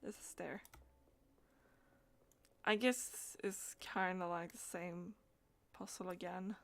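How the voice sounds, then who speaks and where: Woman game streamer, halting delivery. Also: lowish voice, steady tone, vocal fry. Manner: halting reading with stumbles and repeats. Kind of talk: reading game dialogue aloud and chatting.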